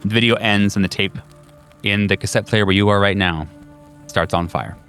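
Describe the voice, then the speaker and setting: A man with a low voice narrates a horror tabletop game as its game master. He feels his way through the scene with drawn-out pauses. Faint music plays underneath. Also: steady tone, a bit bright.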